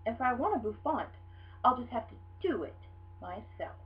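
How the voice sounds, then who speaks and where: A woman's voice: on the high side, very animated, normal speed, faint music behind. A woman voicing a theatrical, pleading, fashion-obsessed little schoolgirl in a storybook read-aloud. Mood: determined. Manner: theatrical, whiny, emphatic. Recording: dull lo-fi sound with hum.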